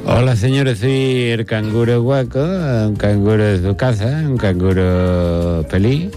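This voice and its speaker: A man's voice: lowish voice, slow pace, over music. A man voicing a cheeky, foul-mouthed female kangaroo in an improvised comedy sketch, rambling and joking. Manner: rambling, joking, crude asides.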